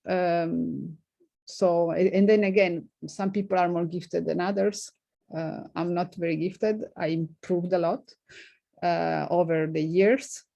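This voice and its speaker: Low, dark-voiced woman, halting accented English. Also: fairly even intonation, vocal fry, highs cut off. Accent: non-native English accent. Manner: halting, many fillers, frequent light laughs. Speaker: invited physicist answering questions. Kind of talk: informal seminar Q&A.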